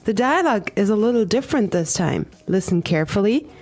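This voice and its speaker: A woman co-hosting an English lesson podcast, cheerful and clearly explaining each phrase. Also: mid-range voice, very animated, fairly quick, darkish tone, faint music behind. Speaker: podcast co-host, English teacher.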